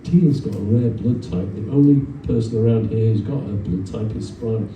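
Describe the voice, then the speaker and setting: Guy doing a cheeky determined school kid from a storybook, soft voice, echoey room. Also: fairly low pitch, moderate pace, close to an everyday voice. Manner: eager, brazen. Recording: roomy distant event recording, faint noise.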